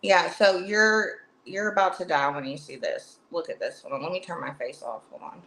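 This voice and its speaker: A woman's voice: on the low side, moderate pace, fairly bright tone, a little hiss or hum, poor audio. A woman hosting a casual livestream chat, chatty, with lots of fillers and restarts. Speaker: livestream host.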